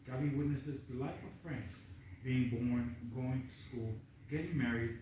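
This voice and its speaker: A man's voice: mid-range voice, a little slow, warm tone, recorded from a distance. A man reading screenplay stage directions aloud as the narrator at a table read. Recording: echoey room, off-mic, faint noise.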